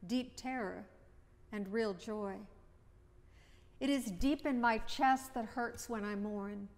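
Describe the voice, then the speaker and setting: A middle-aged woman priest gives a sermon from a lectern in a church. She speaks very slowly and reflectively in short, repeated phrases, her voice slightly high. The sound carries a long reverb from the church. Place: church chancel, indoors. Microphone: at a lectern, far camera.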